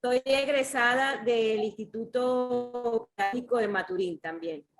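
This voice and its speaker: A woman's voice: on the high side, music in the background. A woman from Venezuela, a teacher and graduate student, talking in class with many fillers like "este". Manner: slow, uses fillers like "este". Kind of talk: casual class participation.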